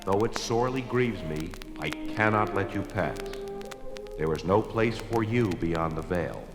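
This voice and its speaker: An actor playing an ancient, sorrowful alien creature, stately and formal, with a booming reverb effect, in a 1970s audio drama. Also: male voice, lively intonation, unhurried, music in the background. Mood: sorrowful, firm. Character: ancient, solemn, sorrowful alien monster, last of its race. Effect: booming reverb for a telepathic voice. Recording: echoing long reverb.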